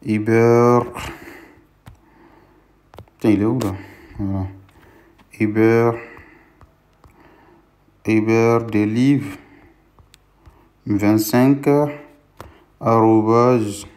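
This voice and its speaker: A man's voice: on the low side, steady tone, drawn-out words, long pauses, darkish tone. A young man, not a native French speaker, speaking as a content creator in a casual tutorial vlog with an encouraging pep-talk tone. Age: young adult. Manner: pep-talk tone, addresses viewers as "les gars".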